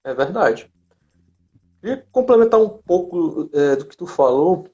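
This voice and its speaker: A man's voice: high voice, lively intonation, unhurried, a little mellow. A man with a Brazilian accent talking casually as he hosts a live online chat. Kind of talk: casual conversation. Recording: dull lo-fi live-stream audio.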